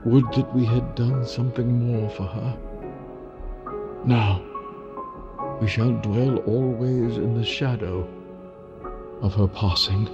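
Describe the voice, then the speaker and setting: A man's voice: medium pitch, fairly even intonation, slow pace, long pauses, dull audio, music in the background. A man playing a grieving widowed father in an audio drama, with formal, sorrowful speech. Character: grieving widowed father comforting his son.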